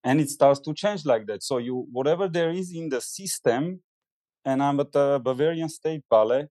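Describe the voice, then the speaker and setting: A man from Armenia, speaking earnest, slightly halting English as a podcast guest. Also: mid-range voice, warm tone, no echo, studio quality. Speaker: podcast guest, former ballet dancer. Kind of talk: conversational interview.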